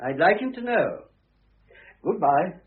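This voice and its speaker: An actor playing a lovelorn, bluff middle-aged bachelor in a classic radio drama, earnest, gentlemanly and a little plaintive. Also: male voice, high-pitched, lively intonation, unhurried, slight background noise. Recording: muffled vintage radio play recording.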